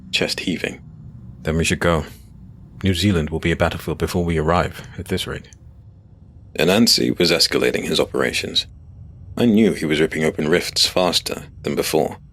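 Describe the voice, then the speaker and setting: Very deep-voiced man narrating fantasy. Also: a bit bright, a little hiss or hum. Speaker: narrator reading as the story's first-person hero. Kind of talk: scripted dramatic fantasy narration.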